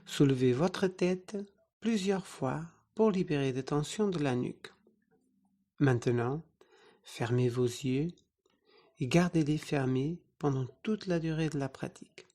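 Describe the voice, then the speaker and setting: Meditation teacher for guided relaxation: mid-pitched, relaxed timbre, very unhurried, soothing phrasing. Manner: soothing, instructing in short phrases with pauses. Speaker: yoga nidra meditation teacher.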